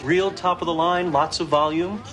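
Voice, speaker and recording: A man's voice: a little high, steady tone, normal speed, background noise, close to an everyday voice. An actor playing a courteous, apologetic appliance store salesman in a naturalistic television drama. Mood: polite, awkward.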